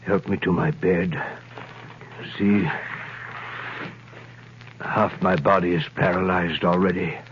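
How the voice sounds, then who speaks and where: An actor playing an aged, learned priest in prison, solemn and theatrical in a 1930s radio drama. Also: male voice, deep voice, fairly even intonation, slow, long pauses. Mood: dying, urgent. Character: mad priest, aged learned male prisoner, patient and fatherly. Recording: muffled, hissy vintage 1930s radio recording.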